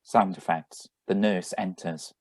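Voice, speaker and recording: A man with a deep voice announces stage directions for a radio play read over a video call. His scripted delivery is slow and deliberate. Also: steady tone. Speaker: announcer reading sound effect directions. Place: remote, on a Zoom video call. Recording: live video call reading.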